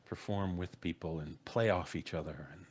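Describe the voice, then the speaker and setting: A man's voice: sits low, normal speed, slightly rough. A middle-aged man hosting a live podcast in a jovial, showman-like, chatty manner from a home setup. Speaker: podcast host and announcer. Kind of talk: live show hosting, casual chat. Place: home room on a video call.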